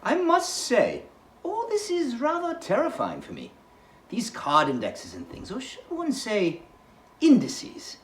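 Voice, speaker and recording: A woman's voice: a little high, huge pitch swings, a little slow, reverberant. A woman playing an ingratiating young male library newcomer in a dramatized novel, chatty and a little affected. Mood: nervously charming.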